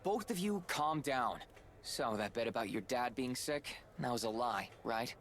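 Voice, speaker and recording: An earnest young RPG hero, with a mid-pitched voice. He is skeptical and direct, and there is faint noise behind him. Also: animated, measured pace, fairly bright tone. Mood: suspicious. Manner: direct, questioning, businesslike. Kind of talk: video game voice acting.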